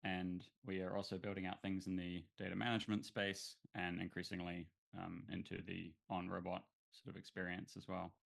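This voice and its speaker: New Zealand man, low voice, gravelly, relaxed interview guest. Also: moderate pace, few pauses. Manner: relaxed, thoughtful, many fillers and restarts. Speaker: guest, startup founder. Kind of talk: casual interview.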